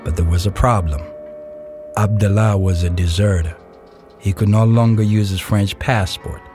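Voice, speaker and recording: A man reading scripted narration for an audio play in a measured storytelling way. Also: on the low side, fairly even intonation, darkish tone. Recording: music behind the voice. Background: music.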